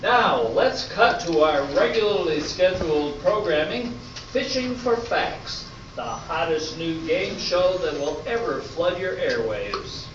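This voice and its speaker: Slick, self-satisfied radio quiz host played by an amateur man, high-voiced and unhurried, showy announcer delivery. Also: lively intonation. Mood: showy, upbeat. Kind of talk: amateur stage radio play. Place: hall at a live arts festival performance. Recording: distant, echoey, noisy dull live recording.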